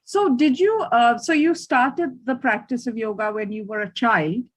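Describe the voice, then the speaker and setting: Slightly high-voiced woman interviewer. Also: normal speed. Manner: conversational, hesitant with fillers. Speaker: interviewer, host. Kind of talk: interview questions.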